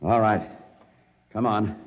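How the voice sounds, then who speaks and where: An actor playing a steady male general who gives clipped orders on a dive, in 1950s radio drama style. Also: on the low side, slow, long reverb tail, narrow, tinny audio. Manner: clipped commands. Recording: old radio broadcast with music bed.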